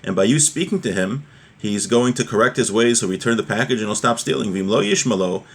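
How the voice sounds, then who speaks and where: A man with a fairly low voice teaches religion in an informal way. He reads and then explains point by point, often checking in with short rhetorical questions. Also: lively intonation, fairly quick, creaky, slightly rough.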